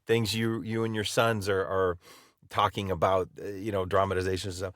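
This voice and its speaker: Low-voiced male podcast host, dry sound. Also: level tone, moderate pace, a bit bright, dry recording. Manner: loose, meandering questions. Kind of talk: casual interview questions.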